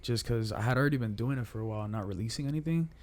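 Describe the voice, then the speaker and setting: A man's voice: mid-range voice, rapid-fire, a little mellow. A man of twenty-four chatting casually as a podcast guest, with slangy, profane language. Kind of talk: casual chat.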